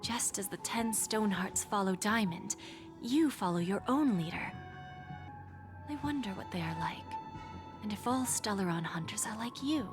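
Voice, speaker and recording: An actress voicing a poised, shrewd woman pawnbroker in a video game, speaking in a measured, formal, insinuating way with breathy phrase endings. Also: medium pitch, expressive, music in the background, natural voice. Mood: measured, intrigued. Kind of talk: scripted game dialogue.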